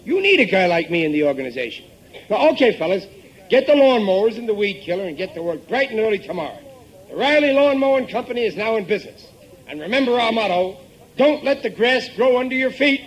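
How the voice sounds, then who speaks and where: High-pitched blustering American dad. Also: male voice, sing-song, quick pace, low-quality recording. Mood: self-important bluster. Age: middle-aged. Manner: blustering, exclaiming, mangles big words. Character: blustering, scheming, self-important working-class American father. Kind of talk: 1950s American radio comedy acting. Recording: old-time radio recording from tape.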